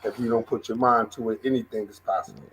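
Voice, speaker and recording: A man's voice: on the low side, fairly even intonation, fast, a bit bright, rough recording. A man co-hosting a casual music chat, stammering and repeating words as he praises a song.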